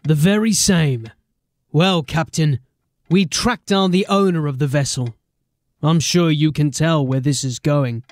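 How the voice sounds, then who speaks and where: An actor playing an earnest, dogged watch sergeant in a fantasy audio drama, deferential but insistent. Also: male voice, on the high side, a little slow, no room sound, close to an everyday voice. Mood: earnest explaining.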